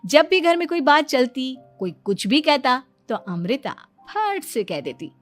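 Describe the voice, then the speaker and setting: A woman's voice: sits high, big pitch swings, a little slow, soft background music. A woman reading scripted story narration as the narrator.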